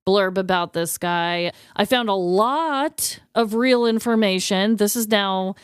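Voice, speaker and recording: A woman co-hosting a podcast, telling stories in an informal, expressive way. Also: medium pitch, slow pace, clean tone, dry recording, studio quality. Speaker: podcast co-host. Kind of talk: casual chat and scripted storytelling.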